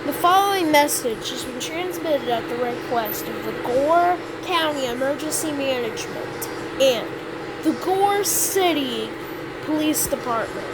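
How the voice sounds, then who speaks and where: Stumbling emergency alert announcer, voiced by a woman, high voice, slow halting delivery, noisy game sound underneath. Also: expressive. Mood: official. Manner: halting improvised announcement, frequent restarts and self-corrections. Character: improvised emergency alert announcer, stiff and official.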